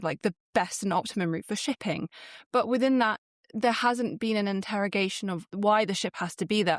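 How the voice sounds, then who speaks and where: A woman's voice: medium pitch, no room sound. Woman hosting a podcast, dry close sound. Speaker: podcast host. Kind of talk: conversational interviewing.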